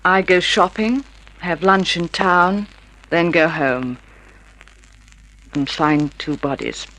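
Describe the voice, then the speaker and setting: A woman acting in a radio drama as a scheming, eager wife plotting with her lover, deliberate and conspiratorial. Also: lowish voice, steady tone, a bit bright, rough recording.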